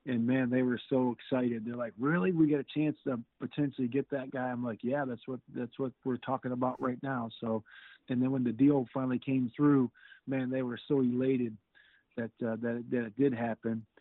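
A middle-aged man, a football defensive coordinator, answering interview questions in a halting way and often repeating words. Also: mid-range voice, fairly even intonation, dry recording. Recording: tinny phone line.

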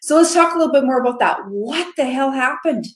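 A woman's voice: sits high, lively intonation, brisk. Chatty woman host in an echoey room. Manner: chatty and enthusiastic. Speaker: podcast host. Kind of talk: casual interview chat. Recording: roomy echo.

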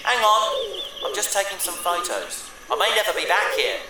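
A man's voice: high voice, big pitch swings, moderate pace. A man playing an easygoing young companion in an audio drama, talking lightly and without worry. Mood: carefree. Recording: echoey with loud background noise.

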